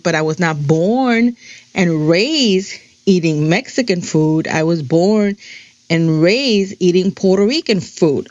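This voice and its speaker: A woman's voice: fairly low pitch, a little mellow, dry recording, lo-fi sound. A middle-aged woman from New Jersey, whose first language is Spanish, talks in a chatty, digressive personal monologue full of "um" and "you know". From New Jersey, USA.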